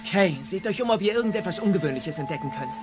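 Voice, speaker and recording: Cartoon audio drama young Viking leader, earnest, wry, youthful, over clear background music. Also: male voice, high voice, animated, very fast. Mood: earnest, worried. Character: earnest, dry-witted teenage Viking boy leader.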